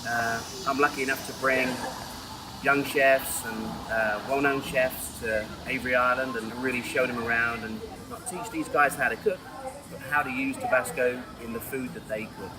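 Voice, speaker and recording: A man's voice: medium pitch, steady tone, moderate pace, noisy background. Friendly male chef guide, set back. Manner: friendly, enthusiastic host. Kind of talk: welcoming guide talk. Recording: slightly distant with music behind.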